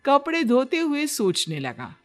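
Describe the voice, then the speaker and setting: Slow-paced woman telling a cartoon story. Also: high-pitched, wildly swooping pitch, faint music behind. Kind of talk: scripted cartoon story narration.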